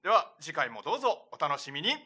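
A man's voice: very animated, quick pace, bright, forceful tone, slightly rough. A young man co-hosting a casual comedic radio chat, chiming in with agreeable, joking reactions. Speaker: radio show co-host. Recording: low-quality webcast with faint music.

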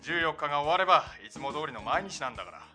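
A man's voice: fairly high pitch, expressive, fast talker, sharp tone, soft background music. A man playing a cool-headed young friend in a comedy audio drama, giving dry, exasperated retorts. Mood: matter-of-fact. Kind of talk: comedic anime-style voice drama.

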